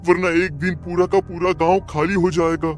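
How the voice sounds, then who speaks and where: A man's voice: high-pitched, flat delivery, moderate pace, faint music behind, close to an everyday voice. A man as a stammering, anxious young villager. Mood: worried. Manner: urgent, worried, stammering. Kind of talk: animated horror story dub.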